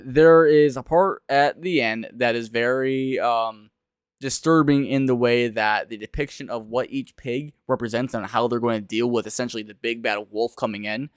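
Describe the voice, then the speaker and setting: Clear-voiced young man, close and dry. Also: mid-range voice, dry recording. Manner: rambling, informal, emphatic. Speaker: video reviewer and streamer. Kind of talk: casual reaction commentary.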